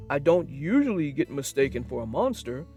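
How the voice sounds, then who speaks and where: Gator, a woman voicing a deep, wise big engine. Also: sing-song, brisk, warm tone, breathy edge, soft background music, heavily acted. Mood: friendly. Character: big gentle male engine, calm and wise.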